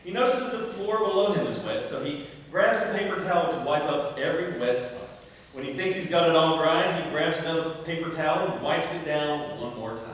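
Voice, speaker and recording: A man reading stage directions aloud at a table reading in a plain, measured way. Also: fairly high pitch, animated. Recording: echoey hall, far from the mic.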